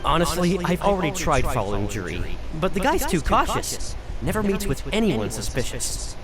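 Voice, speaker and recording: An earnest young informant from a video game, talks with very animated pitch. He is apologetic, anxious and emphatic toward his superior, over a loud game soundtrack. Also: moderate pace. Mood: resigned. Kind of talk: scripted video game dialogue. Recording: loud game music under the voice.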